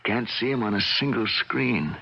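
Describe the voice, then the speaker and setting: An American actor playing an earnest, puzzled laboratory colleague, with hesitant, expressive radio drama delivery. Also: male voice, medium pitch, moderate pace, creaky, slightly rough. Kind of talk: 1980 radio drama acting. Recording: dull old radio broadcast, faint noise.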